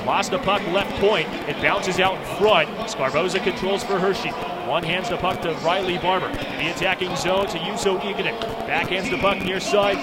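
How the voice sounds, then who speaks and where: An American man calling live radio play-by-play from a sports arena in a clipped, running present-tense style. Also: sits high. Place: sports arena broadcast position. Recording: loud crowd and arena noise behind. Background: roaring crowd, arena music.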